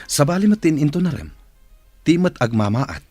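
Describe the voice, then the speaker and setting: A man's voice: fairly high pitch, sing-song, moderate pace, darkish tone, no room sound, clean studio sound, soft background music. A man playing a demanding, fussy office boss with a Filipino accent in a radio drama. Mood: brisk, demanding.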